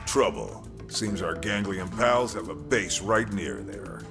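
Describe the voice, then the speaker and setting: A man playing a gruff, seasoned military commander in a video game, wry and laid-back. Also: lowish voice, slow pace, over music.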